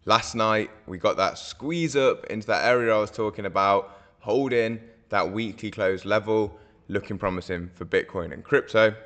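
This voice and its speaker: A hoarse man, walking viewers through market charts in a casual, rambling way, full of fillers and self-corrections. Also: on the low side, a bit bright. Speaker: crypto market analyst host.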